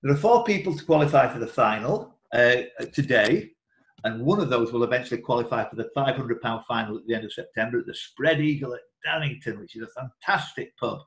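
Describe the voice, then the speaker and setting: A man's voice: mid-range voice, a little fast. A man hosting a live quiz, reading out questions in an indoor room on an online group call. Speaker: quiz host and question reader. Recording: roomy, echoing online call audio.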